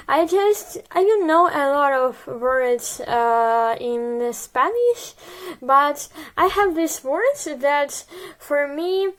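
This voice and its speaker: A young woman from Ukraine with a Ukrainian accent, whose first language is Russian, talking in a hesitant video diary and often stopping to search for words. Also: high-pitched. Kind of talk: casual personal video diary.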